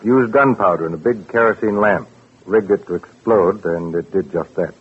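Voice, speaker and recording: A gruff police lieutenant, very deep and heavily rasped, speaking in blunt, clipped phrases. It is vintage radio drama with a dull sound. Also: male voice, moderate pace. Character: gruff, matter-of-fact male homicide police lieutenant. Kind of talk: 1940s radio drama acting.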